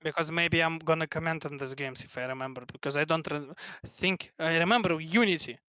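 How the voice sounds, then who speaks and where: Russian-accented man in his twenties, bright crisp voice, dry close sound, stop-start delivery. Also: fairly high pitch, no echo. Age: 20s. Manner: halting, many restarts and fillers. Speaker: guest, pro gamer. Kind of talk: casual interview chat.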